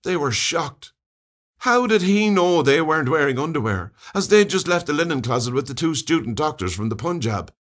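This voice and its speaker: A man with an Irish accent reading a comic short story aloud in an expressive, wry way. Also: a little high, moderate pace. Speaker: story reader.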